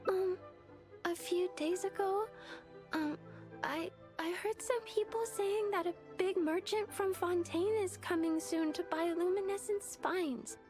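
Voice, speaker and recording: Timid little girl, extremely high voice, slow halting delivery, video game dialogue. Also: darkish tone, faint music behind. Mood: shy, hesitant. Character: shy, hesitant, unsure little girl.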